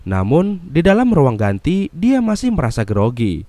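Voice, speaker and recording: Indonesian male recap narrator: congested nasal voice, animated pitch, mellow tone, casual slang. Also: moderate pace, a little hiss or hum. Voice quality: nasal, stuffy-nosed. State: unwell, congested. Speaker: host and narrator of film recap videos.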